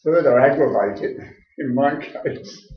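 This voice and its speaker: An elderly man from England, in his eighties, telling his life story in a plainspoken, halting way with many "uh" restarts. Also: mid-range voice, noisy background. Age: 80s. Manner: plainspoken, hesitant with frequent "uh" restarts. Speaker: interviewee. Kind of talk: recorded oral history interview. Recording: echoey room, dull lo-fi tape.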